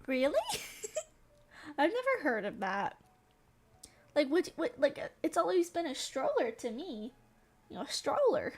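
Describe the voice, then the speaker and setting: A young woman with a Southern American accent and a high voice, streaming a game and chatting with her viewers. She giggles a lot and keeps the talk playful and loose. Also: huge pitch swings, a little slow, pauses often. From Southern United States. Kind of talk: casual chat while gaming.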